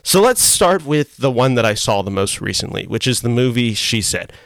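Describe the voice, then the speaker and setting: Hoarse young guy doing a solo podcast, lots of uh's, dry close sound, average pitch. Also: big pitch swings, normal speed, fairly bright tone, no room sound. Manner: rambling, self-correcting, frequent uh fillers. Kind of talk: casual solo podcast chat. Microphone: desk mic with iPhone as webcam.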